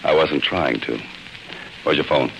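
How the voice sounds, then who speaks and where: Very deep-voiced, deadpan noir hero. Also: male voice, a little slow, muffled recording, exaggerated voice. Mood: wry, tense. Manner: deadpan, terse wisecracks. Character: cool, wry male mystery writer on the run. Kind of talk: 1940s radio noir acting. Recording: noisy old 1940s radio recording.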